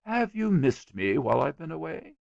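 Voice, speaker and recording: A man's voice: on the high side, very animated, normal speed, creaky, darkish tone, no room sound, lo-fi sound, pitched above the performer's own voice, heavily acted. A man voicing a stubborn, self-important young schoolboy in a story, with a dogged, earnest, colloquial manner. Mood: affected, mysterious.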